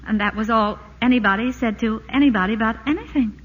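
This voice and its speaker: A woman's voice: a little high, fast talker, a little hiss or hum, close to an everyday voice. An actress playing a flustered housewife who insists on her own innocence, in a 1940s radio play. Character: flustered, protesting female housewife. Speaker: wife. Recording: dull old radio broadcast.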